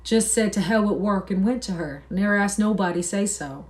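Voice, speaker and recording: A woman's voice: medium pitch, normal speed, darkish tone, natural voice. A woman reading aloud and voicing an old male ranch hand who talks in rural, folksy speech. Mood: bitter grief.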